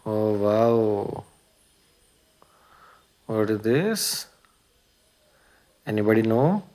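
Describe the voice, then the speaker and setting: A man narrates an educational video for young children. His voice is on the low side and his delivery is extremely slow, in short simple phrases separated by pauses, often turned into questions for the listener. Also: expressive, warm tone. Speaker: presenter of a children's learning video.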